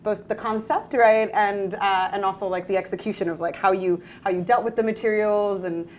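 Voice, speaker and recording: A woman's voice: mid-range voice, fairly even intonation, a little fast, a little mellow, slight background noise. A woman philosophy professor moderating an onstage conversation, asking friendly, rambling questions full of "right" and "like". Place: university art gallery.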